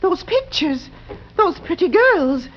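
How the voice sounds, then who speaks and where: A very high-voiced, timid landlady in an old radio thriller. She speaks slowly and hesitantly, with fearful swoops. The sound is a dull vintage broadcast. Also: very animated, background noise, exaggerated voice. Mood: startled, uneasy. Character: anxious, timid boarding-house landlady. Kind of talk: 1940s radio drama acting. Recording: muffled 1940s radio recording.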